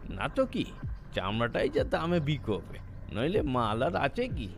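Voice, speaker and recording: A man's voice: medium pitch, huge pitch swings, measured pace, vocal fry, slight background noise, full character voice. A man voicing a haggling old cattle buyer in an audio story, irritable and scornful, cajoling and grumbling. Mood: annoyed.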